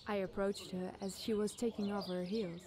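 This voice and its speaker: A woman's voice: medium pitch, fairly even intonation, moderate pace, a crowd in the background. A woman reading a scripted scary campfire story as a podcast storyteller.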